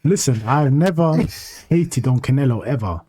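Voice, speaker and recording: A man's voice: mid-range voice, animated, normal speed, warm tone. A man co-hosting a podcast in casual chat, laid-back and opinionated. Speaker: podcast co-host.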